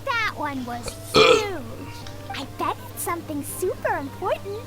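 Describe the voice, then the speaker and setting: Squeaky, childlike little game companion. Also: female voice, big pitch swings, a little slow, over loud music, heavily acted. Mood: curious. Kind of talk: video game voice acting.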